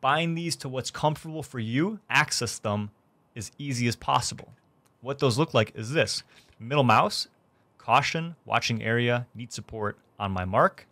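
A young man hosting a gaming tutorial, explaining things clearly step by step from his streaming room. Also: medium pitch, animated, normal speed, sharp tone, no echo. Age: young adult. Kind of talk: tutorial narration. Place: small indoor room streaming setup.

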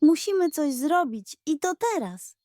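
A woman's voice: high-pitched, big pitch swings, measured pace, dark tone, no room sound, clean studio sound, pitched above the performer's own voice. A woman doing a wisecracking cowboy deputy. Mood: urgent. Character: loyal young male deputy, jokey and eager.

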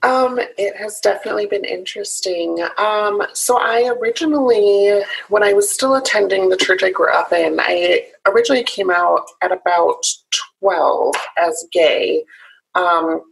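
A young woman from South Carolina, raised in Illinois, speaking as an interview guest over a video call. She talks earnestly and openly, often pausing with ums. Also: mid-range voice, vocal fry, husky, low-quality recording. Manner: earnest and open, with frequent ums. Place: small home room.

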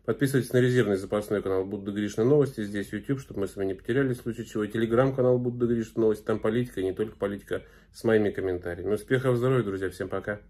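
Blogger, thirties, male, somewhat low voice, earnest talk to camera in a small room, halting delivery. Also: dark tone. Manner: earnest, many filler sounds and restarts. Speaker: video blogger. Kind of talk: casual commentary to camera.

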